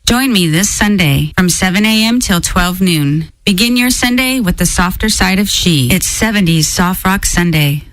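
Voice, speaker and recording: A woman reading a scripted radio station promo in a soft, inviting manner. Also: lowish voice, expressive, normal speed, few pauses, dry recording, poor audio. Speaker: radio show promo announcer. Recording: dry studio promo over music.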